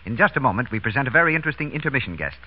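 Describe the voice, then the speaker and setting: An American man works as a 1930s radio announcer and pitchman. His delivery swings widely in pitch, with a husky edge. The vintage broadcast sound is dull. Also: sing-song, rapid-fire.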